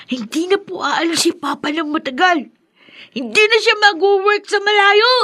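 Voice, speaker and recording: A woman voicing an eager, excitable little boy pleading in a Filipino radio drama, with a Filipino accent. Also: extremely high pitch, moderate pace.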